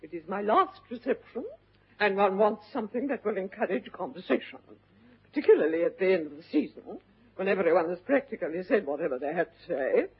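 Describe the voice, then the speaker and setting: An actress playing an imperious older upper-class English aunt in a classic stage comedy, with a haughty, deliberate, declamatory delivery. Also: female voice, mid-range voice, animated, breathy edge. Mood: imperious, indignant. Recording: muffled vintage narrow-band radio recording.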